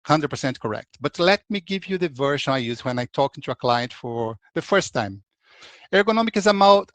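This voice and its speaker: A man's voice: mid-range voice, fairly bright tone, no room sound. Brazilian man presenting a webinar. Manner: conversational, with frequent restarts and "like". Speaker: ergonomics consultant presenting.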